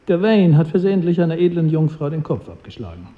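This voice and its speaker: Courtly adviser, cynical and polite, swooping intonation, slightly dull radio drama sound. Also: male voice, fairly high pitch, big pitch swings, fast talker. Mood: dry, gossipy. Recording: dull sound with hall reverb.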